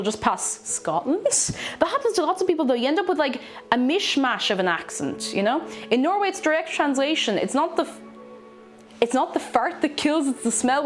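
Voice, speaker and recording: Irish woman, tipperary lilt, fairly high voice, chatty streamer, stream beats playing behind her. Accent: Irish, from Tipperary with some Dublin. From Ireland. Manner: chatty, laughs often, greets chat with bursts of excitement. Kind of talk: casual chat while reading live chat and cooking. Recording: clear background stream music. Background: background music playing.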